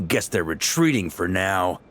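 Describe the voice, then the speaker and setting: An actor playing a seasoned, shrewd knight commander in a video game, explaining things in a measured, casual and confident way. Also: male voice, low-pitched, very animated, fairly bright tone, slight background noise, heavily acted. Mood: calm, assured.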